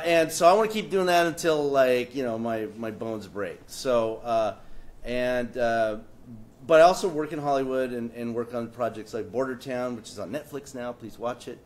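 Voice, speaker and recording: A man who is a political cartoonist, speaking on a panel, joking wryly and pausing often with fillers. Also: mid-range voice, a little hiss or hum. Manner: wry, joking, halting with many fillers. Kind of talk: casual panel talk presenting his work.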